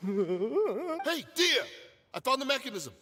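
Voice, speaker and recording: A man's voice: very high-pitched, big pitch swings, takes it slowly, bright, forceful tone, heavily acted. A man voicing an eager young mercenary comrade in a video game. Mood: eager, calling out. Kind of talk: dramatic video game voice acting.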